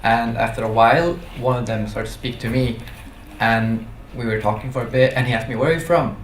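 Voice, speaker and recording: A man's voice: medium pitch, steady tone, brisk. Echoey man from Sweden, off-mic. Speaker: audience member asking a question. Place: audience in echoey lecture room. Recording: distant, echoey, faint room noise.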